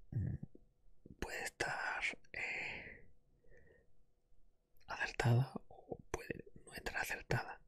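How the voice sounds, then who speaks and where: A man hosting an ASMR podcast, speaking in a hushed, careful way that mixes breathy whispers with soft-spoken talk, close to the microphone. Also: dry recording. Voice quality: breathy whisper with soft low voiced stretches. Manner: hushed, careful not to raise the voice.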